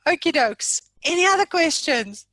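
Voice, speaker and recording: A woman's voice: high voice, expressive, moderate pace, sharp tone, no room sound. A woman hosting an informal online training session in a jokey, chatty, self-deprecating way. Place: remote online meeting from own room.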